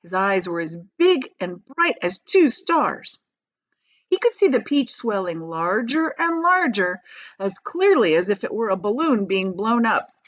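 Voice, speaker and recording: Brief: woman teacher narrating a children's book, lively varied delivery, close dry room, muffled home recording. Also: mid-range voice, a little slow, no echo. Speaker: teacher reading aloud. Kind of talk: expressive storybook narration.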